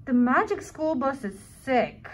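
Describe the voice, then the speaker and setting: Woman, high voice, slow, lively pitch, faint room noise. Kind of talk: reading speech bubbles in a picture book.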